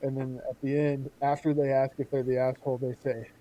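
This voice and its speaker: A man's voice: mid-range voice, level tone, brisk, dark tone, muffled recording. A man co-hosting a podcast in an informal, conversational way. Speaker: podcast co-host. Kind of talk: casual chat and reading posts aloud.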